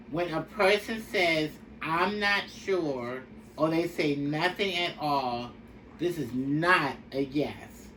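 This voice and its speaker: A woman reading a picture book aloud for young children in a deliberate, instructive way. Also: deep voice, a bit bright. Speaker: storybook reader. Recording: distant mic with faint background noise.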